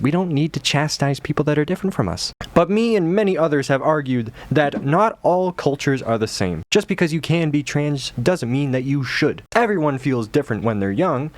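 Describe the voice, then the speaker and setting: A man hosting a reaction video, ranting in a mocking, exaggerated way with rhetorical questions. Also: on the high side. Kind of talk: sarcastic ranting commentary.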